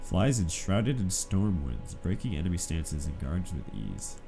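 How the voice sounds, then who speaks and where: Male gamer, low register, nasal and congested from allergies, casual play-along commentary over clear background music. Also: very animated, unhurried, warm tone. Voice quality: stuffy-nosed, nasal. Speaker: video game player and narrator.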